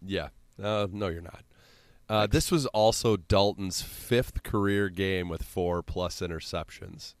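A man's voice: low voice, measured pace, dry recording. A man with a central Illinois accent, hosting a casual sports podcast with exasperated, sweary rambling.

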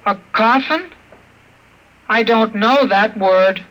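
Innocent robot child in a vintage radio play. The voice is extremely high and piercingly bright, grinding like machinery. It speaks in simple, eager childlike phrases, drawn out very slowly. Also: male voice, poor audio. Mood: playful, innocent. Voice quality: grinding, mechanical voice. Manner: slow, simple, childlike questions. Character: childlike robot with a six-year-old's mind, eager, innocent. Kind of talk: old-time radio drama acting.

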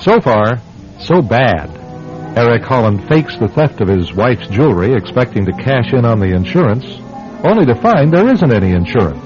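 Man as a wry, animated radio narrator over music. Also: medium pitch, moderate pace, close to an everyday voice. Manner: wry, storytelling. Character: wry male narrator of a radio comedy serial. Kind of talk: scripted classic radio drama narration. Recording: dull old radio recording with music.

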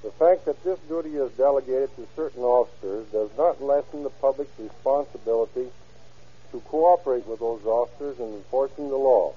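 Man, sheriff's officer, stiff scripted address, measured, husky voice, muffled old radio recording. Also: medium pitch. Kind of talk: formal scripted speech read aloud. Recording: band-limited old radio broadcast recording.

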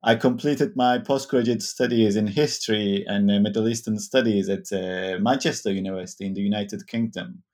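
A man from Turkey, an academic historian, explaining his work in a measured, scholarly way. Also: lowish voice, steady tone, a little mellow. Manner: measured, scholarly, some fillers. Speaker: author and historian. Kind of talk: academic interview.

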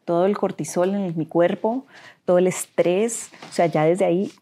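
A woman's voice: on the low side, normal speed, mellow. A woman with a Colombian accent giving an earnest personal account in an interview, often repeating phrases for emphasis. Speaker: interview guest. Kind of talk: emotional personal testimony.

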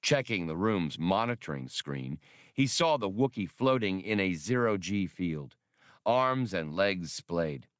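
A man's voice: low voice, expressive, takes it slowly, dry recording, studio quality. A man reading a scripted story as an audiobook narrator.

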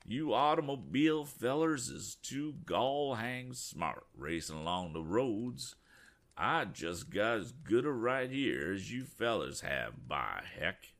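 A man putting on a stubborn country farmer, slow drawl, raised pitch, gravelly. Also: animated, fairly bright tone. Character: stubborn rustic male hay farmer.

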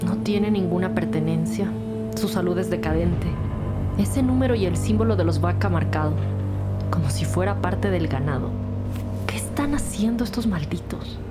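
A woman's voice: on the low side, expressive, moderate pace, loud music behind the voice, close to an everyday voice. A woman playing a businesslike, matter-of-fact police detective in an audio drama. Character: composed, businesslike female police detective, brisk and resolute.

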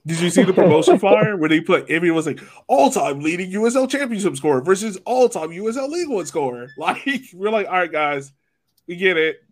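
A man hosting a sports podcast, talking soccer casually in an animated, informal way with jokey asides. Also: high-pitched, fairly quick. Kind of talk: casual soccer chat and analysis.

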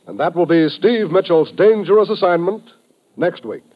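Animated American vintage radio announcer, male. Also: sits high, moderate pace, loud music behind the voice. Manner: polished, declamatory promotional delivery. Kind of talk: 1950s radio announcing, scripted. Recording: muffled vintage radio broadcast.

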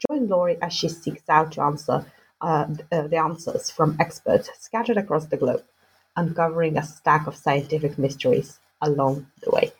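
Young adult woman host, low husky voice, reads her intro quickly with a few slips. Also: steady tone, rough recording. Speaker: podcast host. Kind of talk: scripted introduction then interview questions.

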